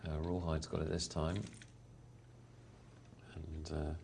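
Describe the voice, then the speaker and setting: Low-voiced poker commentator. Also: male voice, level tone, takes it slowly, creaky, darkish tone, slight background noise. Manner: chatty, self-mocking, hesitant with fillers. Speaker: poker show host and commentator. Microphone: studio mic.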